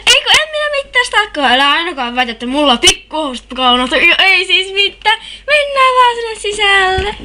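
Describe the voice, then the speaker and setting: Over a noisy homemade recording comes a woman acting a lovestruck schoolgirl with a very high voice. She babbles and gushes, flustered, and keeps backtracking in an amateur cartoon dub. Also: very animated, few pauses, bright, forceful tone, exaggerated voice. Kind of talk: amateur fan dub with homemade sound effects. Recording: noisy homemade screen-capture recording with clicks.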